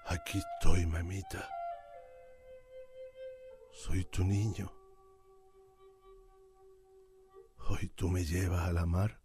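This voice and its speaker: A weary, deep-voiced old islander. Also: male voice, little pitch movement, music in the background. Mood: tender, yielding. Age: older. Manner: solemn, measured, formal. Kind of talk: radio drama acting.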